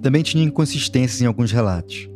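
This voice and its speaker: A man tells a true-crime story in a calm, explanatory way, pitched in the middle for a man. Music runs clearly beneath his narration. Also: fast talker. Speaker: podcast reporter and co-narrator.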